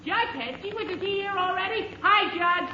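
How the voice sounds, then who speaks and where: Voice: extremely high pitch, moderate pace, sharp tone, exaggerated voice. An actor playing an eager, pestering teenage boy who exclaims insistently in an old-time radio sitcom. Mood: innocent, insistent. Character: eager, pestering, squeaky teenage boy calling to his dad. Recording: muffled, echoey, faintly noisy old radio.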